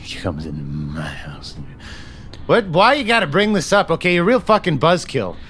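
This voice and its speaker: A man playing a manic, jittery shadowrunner in a tabletop game, rambling and cursing, swinging between hushed menace and outbursts. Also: fairly high pitch, very animated, normal speed, husky, heavily acted. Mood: defensive, irritated. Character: manic, trigger-happy coffee-making shadowrunner man, jittery and volatile. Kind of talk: improvised tabletop roleplay.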